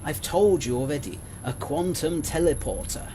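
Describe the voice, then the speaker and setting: A man's voice: on the high side, normal speed, warm tone, a little hiss or hum, close to an everyday voice. A man playing a haughty, impatient alien scientist in an audio drama, speaking in a precise, lecturing way. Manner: precise, lofty, indignant lecturing.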